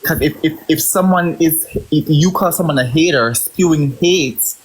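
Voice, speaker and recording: A man's voice: fairly high pitch, normal speed, low-quality recording. A man from Jamaica speaks with a strong Jamaican accent on a live video chat. He presses his points hard and repeats words as he pushes through. A faint hiss runs under his voice. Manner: emphatic, repeats words when stressing a point. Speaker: livestream host. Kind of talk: heated livestream discussion.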